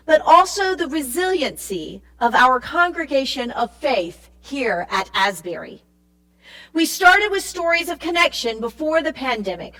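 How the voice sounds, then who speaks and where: A woman reading a scripted church summary in a measured way, as a narrator. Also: high voice, a bit bright, low-quality recording. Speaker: narrator, church project team member. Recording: music playing behind the voice. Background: music.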